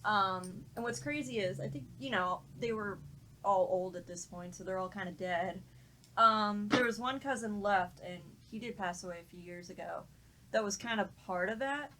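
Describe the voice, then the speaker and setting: A woman's voice: mid-range voice, normal speed, a bit bright, a little hiss or hum, rough recording. A woman in casual podcast conversation, telling stories informally with some swearing. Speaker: podcast panelist. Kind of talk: casual chat, anecdote telling.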